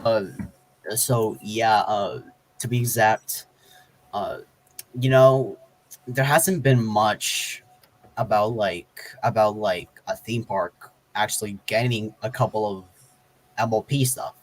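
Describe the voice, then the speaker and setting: Male chat guest, lowish voice, informal, hesitant and halting. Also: low-quality recording. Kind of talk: casual chat.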